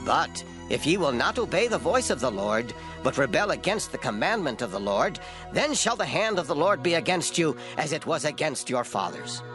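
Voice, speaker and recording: An actor playing an old, gray-headed prophet, solemn, stern and declamatory, in a dramatized scripture reading. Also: male voice, fairly high pitch, sing-song, moderate pace, music in the background. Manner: declamatory, grave.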